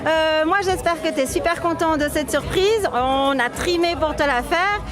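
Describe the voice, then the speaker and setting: A woman's voice: very high voice, normal speed. A woman talking informally in a casual interview as one of the organisers of a party. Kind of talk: casual chat. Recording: party music and crowd chatter behind. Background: party crowd chatter and music.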